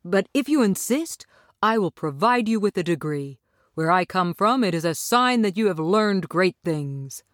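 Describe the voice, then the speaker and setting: A woman's voice: fairly low pitch, expressive, a little slow, no echo, clean studio sound. A woman voicing a kindly, sheepish little old man, a fraudulent storybook wizard who speaks apologetically. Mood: reassuring. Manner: stuttering, sorrowful.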